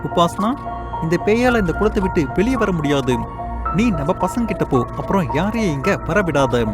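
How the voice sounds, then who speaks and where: A man voicing a brave boy student who gives urgent instructions to his friends in a cartoon, over loud music. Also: high voice, expressive, moderate pace.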